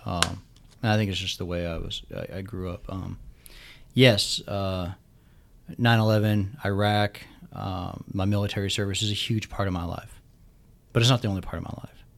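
A military veteran in his thirties speaks in a very low, deep voice, his words emphatic and often restarted as he gathers his thoughts. His voice sounds worn from a recent illness. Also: steady tone, moderate pace, vocal fry. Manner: emphatic, repeats words while thinking. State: recovering from losing his voice. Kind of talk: casual podcast conversation.

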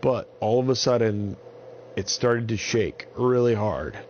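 A man's voice: lowish voice, lively intonation, unhurried, a little mellow, muffled recording, a little hiss or hum. A man telling an improvised bedtime story to small children in simple, repetitive phrases.

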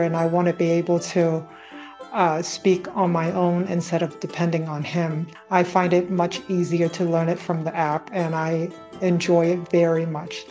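A woman from Hong Kong, a native Cantonese speaker, leaves a friendly voice message with small hesitations. Her voice is fairly low and stays flat, with soft music faintly behind. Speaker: listener leaving a voice message. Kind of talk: casual voice message.

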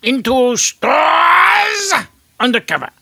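Mumbling, shouting very old man, high, swooping, gravelly voice. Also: very animated, slow pace, dry recording, studio quality, exaggerated voice. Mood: croaky shouting. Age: over 60. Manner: shouts in cracking bursts, hard to understand. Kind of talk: improvised comedy sketch.

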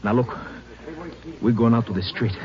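A man's voice: steady tone, normal speed, a crowd in the background, lightly acted. A man acting a menacing robber with a knife in a 1950s radio play, muffled old broadcast sound. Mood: threatening. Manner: threatening, low hissed commands.

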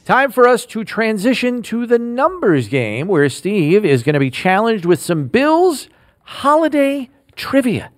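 A higher-pitched man hosts a sports podcast with an upbeat, animated quizmaster manner, his voice swinging widely in pitch. The studio sound is dry and close, with faint music underneath. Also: measured pace, dry recording. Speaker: host. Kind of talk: sports podcast quiz host.

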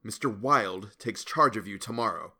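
A male narrator plays a deluded would-be king from a gothic audiobook. The voice is forceful and bright, imperious and melodramatic, with grandiose, paranoid rage. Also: lowish voice, animated, normal speed, heavily acted. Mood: commanding. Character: madman claiming a crown, grandiose, raging, paranoid. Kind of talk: dramatic gothic audiobook acting.

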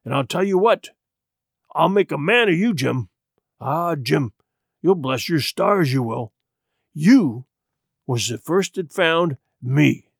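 Storybook castaway pirate, played by a male narrator, hoarse and rusty, half-crazed and sly. He drawls out rustic sailor talk with a childlike eagerness, swinging widely in pitch, recorded dry and close. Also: expressive, dry recording, exaggerated voice. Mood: excited, conspiratorial. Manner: rustic sailor speech, slow and drawn-out.

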